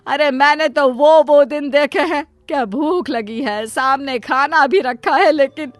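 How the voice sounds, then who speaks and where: Woman acting a doting cartoon mother, high-pitched, middle-aged, melodramatic, pleading, overprotective. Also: a little slow, no echo, soft background music. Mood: pleading, protective. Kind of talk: Hindi cartoon moral story acting.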